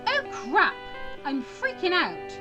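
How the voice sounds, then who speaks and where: A woman's voice: very high voice, huge pitch swings, slow, muffled recording, over music, heavily acted. A woman voicing an anxious, argumentative teenage student in a visual novel, stammering and exclaiming. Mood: panicky, nervous.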